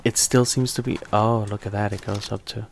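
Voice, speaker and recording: A man with a slight accent giving relaxed, rambling commentary while streaming a game live, often restarting his sentences. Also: fairly low pitch, a little fast, gravelly, slight background noise. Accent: non-native English accent. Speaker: tech streamer.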